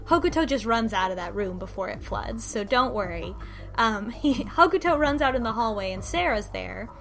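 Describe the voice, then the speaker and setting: Higher-pitched woman recapping a story. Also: a bit bright. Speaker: podcast co-host recapping a story. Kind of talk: casual plot recap discussion.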